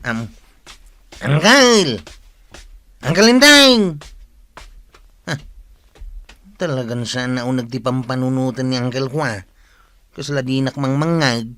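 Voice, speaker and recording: Man playing a bright-voiced teasing nephew. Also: medium pitch, expressive, slow pace, long pauses. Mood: puzzled. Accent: Filipino. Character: teasing, curious nephew puzzled by his uncle. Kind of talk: comedic Filipino radio drama acting.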